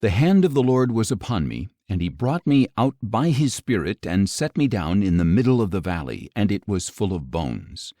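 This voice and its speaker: A man narrating an audio Bible, reading scripture in a solemn, measured, dramatic delivery, recorded close in a studio. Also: lowish voice, sing-song, mellow, no echo.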